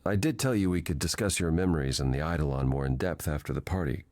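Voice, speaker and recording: An actor playing a gentle, melancholy god in a video game, speaking in a measured, formal and earnest way. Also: male voice, rumbling low, animated, no room sound. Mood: thoughtful. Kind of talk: video game dialogue acting.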